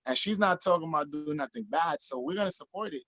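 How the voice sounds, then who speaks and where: Energetic Jamaica-born man on a video call. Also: on the high side, quick pace, no echo. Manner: energetic, animated storytelling with many restarts. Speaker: guest. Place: small room in front of a printed backdrop. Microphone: laptop or webcam on video call.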